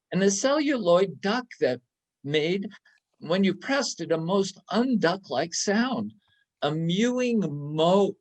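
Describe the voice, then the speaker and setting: A man giving a dramatic storybook reading with measured, deliberate and expressive phrasing. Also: fairly high pitch. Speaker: reader in a readers theater.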